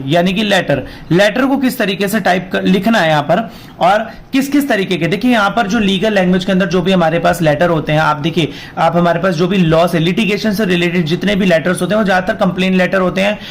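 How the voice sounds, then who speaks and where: High-pitched man teaching, sore throat. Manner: instructive, repeats and rephrases key points. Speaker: law teacher running an online class. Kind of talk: informal explanatory exam lesson, Hindi mixed with English terms.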